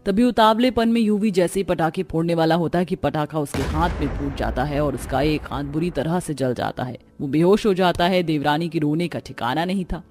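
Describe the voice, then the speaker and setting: A woman's voice: low-pitched, fairly quick, hardly any pauses, a little mellow. A woman narrating a scripted cartoon family story.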